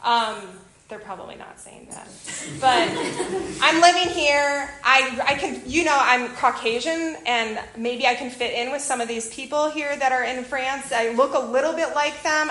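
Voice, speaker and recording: A woman from Illinois with a Chicago accent, giving a chatty, animated church talk to a group about a missionary kids program. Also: on the high side, normal speed, low-quality recording. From Illinois, USA. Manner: chatty, animated, self-deprecating asides. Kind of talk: informal church presentation to a group.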